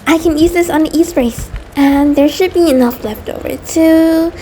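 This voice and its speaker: Woman playing a high-voiced, anxious centipede girl. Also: warm tone, slight background noise, close to an everyday voice, low-quality recording. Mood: pleased, focused. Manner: nervous, stammering, halting, apologetic. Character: anxious, affectionate female centipede monster, neurotic post-apocalyptic partner. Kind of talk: intimate ASMR roleplay audio drama.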